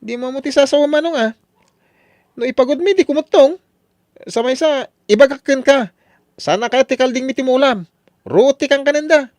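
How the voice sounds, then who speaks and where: A man's voice: very high-pitched, moderate pace, long pauses, darkish tone, no echo, pitched above the performer's own voice. A man voicing a cocky, stubborn male goat raiser in a comic radio drama, with an Ilocano accent and a defiant, exaggerated manner.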